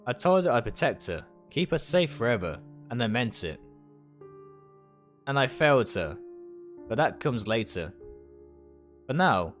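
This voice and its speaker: A man's voice: mid-range voice, sing-song, measured pace, pauses often, faint music behind. A man reading visual novel text aloud in a dramatic storytelling style, sometimes stumbling and rereading words. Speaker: streamer reading a game aloud.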